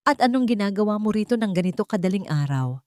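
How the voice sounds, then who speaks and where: A woman's voice: mid-range voice, animated, fast talker, dark tone, no room sound, clean studio sound, pitched above the performer's own voice, close to an everyday voice. A woman voicing a suspicious, demanding woman in an audiobook, questioning sharply with a Filipino accent. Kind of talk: dramatic audiobook character dialogue.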